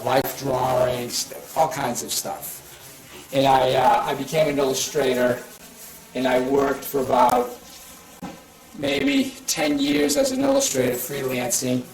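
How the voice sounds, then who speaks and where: An older man from Italy with a heavy Boston accent, talking casually and rambling with many fillers as he demonstrates painting to a crowd. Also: medium pitch. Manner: rambling, with frequent fillers and restarts. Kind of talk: casual live demonstration talk. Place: art association meeting hall. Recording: echoey room, mic at a distance.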